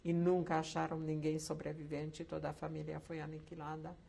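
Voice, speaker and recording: An older woman from Czechoslovakia, once a camp survivor, telling her life story slowly with hesitant pauses in an oral history interview. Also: low voice, monotone, vocal fry, dark tone, husky. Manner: slow reflective storytelling with hesitant fillers.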